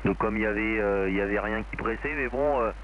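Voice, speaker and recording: A man with a fairly low voice chats over amateur radio. He rambles in a loose, hesitant way, often searching for the next word. The transmission is dull, with faint noise underneath. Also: fast talker. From France. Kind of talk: casual radio chat. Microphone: amateur radio link.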